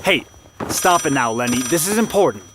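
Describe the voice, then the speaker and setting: A man's voice: mid-range voice, animated, normal speed, noisy background, lightly acted. A man acting an anxious young radio narrator and peacemaker in an audio drama, earnest and dramatic. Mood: tense, pleading.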